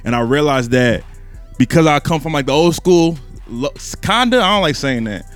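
A man's voice: fairly high pitch, animated, moderate pace, a bit bright, smooth, soft background music. An American man of thirty chatting casually as a guest, candid and slangy. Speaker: rapper guest. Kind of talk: casual chat.

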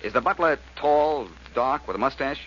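An actor playing a cocky, quick-witted sleuth in a 1940s radio drama, with a wry, wisecracking American delivery. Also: male voice, mid-range voice, fairly bright tone, natural voice. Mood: urgent, commanding. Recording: noisy, muffled old radio recording.

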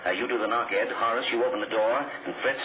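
An actor playing a curt, impatient American radio show director in a 1940s radio drama, giving slangy orders. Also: male voice, medium pitch, fairly even intonation, fast, natural voice. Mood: brisk, commanding. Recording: muffled, noisy 1940s radio recording.

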